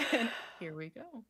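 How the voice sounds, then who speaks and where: A woman's voice: on the high side, heavy background noise. A woman hosting a live event in a casual, jokey, sweary and giggly way. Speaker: host of a live fiction podcast event. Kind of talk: casual, jokey group chat.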